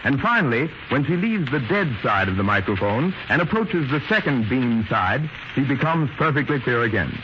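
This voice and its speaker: A man's voice: medium pitch, sing-song, normal speed, hardly any pauses. A man presenting as a 1930s radio announcer in a formal, precise, instructive manner. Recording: noisy muffled 1930s radio recording.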